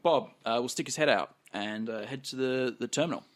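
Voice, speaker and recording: A man's voice: medium pitch, a little fast. A man playing a tabletop roleplaying game, casually describing what his character does. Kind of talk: casual improvised game chat.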